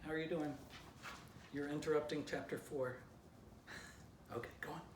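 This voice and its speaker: A man's voice: fairly high pitch, normal speed. A man reading a children's storybook aloud expressively as its author, with casual asides to the listener. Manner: animated, showing illustrations between passages. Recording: roomy echo, distant mic, faint noise.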